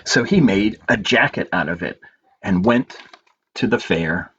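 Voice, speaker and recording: A man hosting a storytime as a librarian, reading picture books aloud in measured phrases with pauses and informal asides. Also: fairly low pitch, animated, a bit bright. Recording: dull lo-fi audio with clear background noise.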